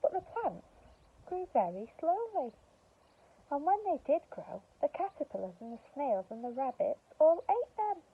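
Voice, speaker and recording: A woman's voice: high voice, big pitch swings, measured pace, narrow, tinny audio. A woman speaking to young children as a teacher and story reader, explaining simply, with questions and emphasis. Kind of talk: speaking to young children, reading a picture book.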